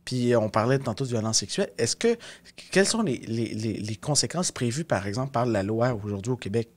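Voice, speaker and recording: A man's voice: mid-range voice, animated, a little fast, darkish tone. A young man with a Québécois French accent hosting a podcast in a small studio, asking questions with hesitant restarts. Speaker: podcast host. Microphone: studio mic on boom arm, headphones.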